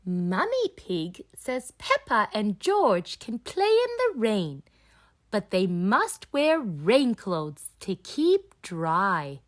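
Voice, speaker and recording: A woman reading a children's storybook aloud in an expressive, deliberate storytelling style. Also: mid-range voice. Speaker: storybook reader.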